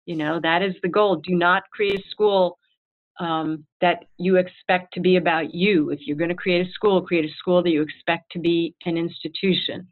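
A woman who heads a school, answering interview questions in an earnest, animated way and acting out her own past thoughts. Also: fairly low pitch, normal speed. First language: English. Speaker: head of school. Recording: tinny phone-like line.

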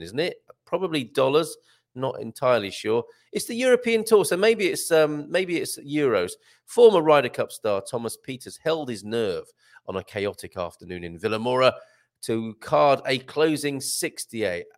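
A man's voice: mid-range voice, gravelly. A man hosting a casual live stream, reading items aloud and rambling off into jokey asides. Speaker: host of an expat live stream.

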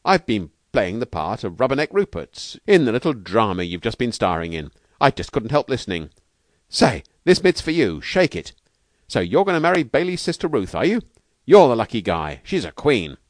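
A man's voice: medium pitch, big pitch swings, normal speed, no echo, studio quality, pitched above the performer's own voice, close to an everyday voice. A man voicing a streetwise, good-hearted physical trainer in a storybook, emphatic and colloquial. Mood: chummy, sympathetic. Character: slangy, brash, good-hearted tough-guy physical trainer.

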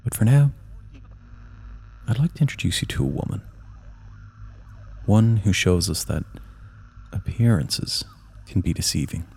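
A man's voice: on the low side, very animated, a little slow, creaky, long pauses, darkish tone, breathy edge, full character voice. A man playing a creepy but genial crypt-dwelling horror storyteller, speaking in a playful, ominous, theatrical way. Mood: playfully ominous. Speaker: horror anthology host. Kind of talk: theatrical spooky podcast introduction.

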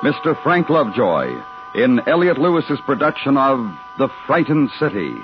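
A man announcing a 1950s radio show with dramatic, drawn-out phrasing. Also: mid-range voice, sing-song, background noise. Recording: muffled 1950s radio recording. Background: loud music.

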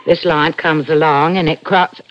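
An actress playing a frightened but shrewd working-class wife in an old radio thriller, with a colloquial, nagging way of talking. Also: female voice, low-pitched, fairly even intonation, measured pace. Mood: intent, reasoning. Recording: dull, muffled vintage radio recording.

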